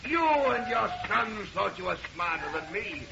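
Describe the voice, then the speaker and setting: A man's voice: high-pitched, sing-song, measured pace, heavily acted. An actor playing a crazed, murderous family man in an old-time radio horror drama, gloating and ranting melodramatically. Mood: manic triumph. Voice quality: gravelly, creaky. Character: crazed murderous husband and father, gloating madman. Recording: noisy muffled old radio recording.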